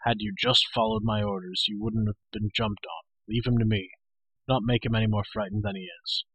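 A man's voice: fairly low pitch, fairly even intonation, a little fast, a bit bright, slightly rough, no room sound, poor audio. A man voicing a stern, authoritative warrior prince in a storybook, speaking firmly and with restraint. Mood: severe. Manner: firm, severe, restrained. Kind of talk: storybook character voice.

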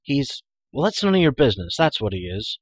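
A man's voice: medium pitch, expressive, quick pace, fairly bright tone, husky, no room sound, pitched above the performer's own voice. A man voicing an anxious, hot-headed young pig keeper in a storybook, exclamatory and indignant. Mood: defiant, troubled.

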